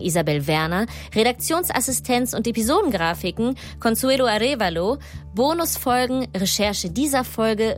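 A woman hosting a podcast, moving between scripted narration and addressing guest and listeners. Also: fairly high pitch. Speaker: podcast host.

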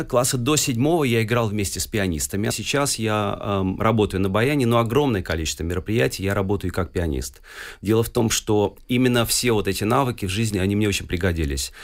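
A middle-aged man from Russia talks as a guest on a radio interview. His voice sits low and he speaks quickly and fluently, with the odd filler as he tells his story. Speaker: guest musician.

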